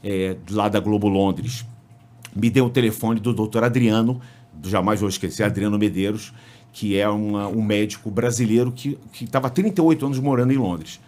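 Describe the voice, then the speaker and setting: A Brazilian sports commentator with a Brazilian Portuguese accent, a podcast guest telling lively stories with restarts and jokes. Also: male voice, on the low side, normal speed. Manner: animated storyteller, frequent restarts, jokes. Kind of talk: casual interview storytelling.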